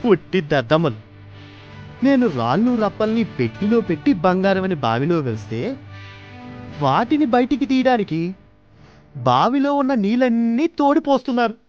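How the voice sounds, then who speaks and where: A man voicing a clever, gleeful court poet with a self-satisfied manner in an animated children's story. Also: high-pitched, unhurried, long pauses. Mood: amused scheming. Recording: muffled lo-fi, music underneath.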